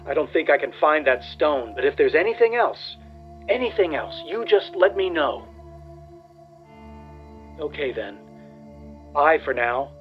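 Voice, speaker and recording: A tender small-town fellow in a radio play, talking to the dead. His voice sits a little high and he speaks slowly and haltingly, with wonder. The sound is dull and lo-fi. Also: very animated, soft background music. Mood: fond, wondering. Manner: halting, wondering, repeats himself.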